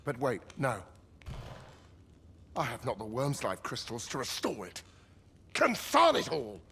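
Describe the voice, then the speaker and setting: Wildly expressive, obsessive scholar. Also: male voice, mid-range voice, measured pace, creaky, slight background noise, heavily acted. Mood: frustrated. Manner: theatrical, archaic diction, swinging from muttering to exclaiming. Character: obsessive, haughty, excitable male scholar-inventor of magical devices. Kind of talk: video game cutscene acting.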